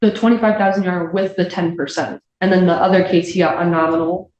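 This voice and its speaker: A woman's voice: on the low side, fairly even intonation, brisk, darkish tone, highs cut off. A woman speaking as a prosecutor, making a formal argument to a judge in court. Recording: echoey courtroom, faint background noise.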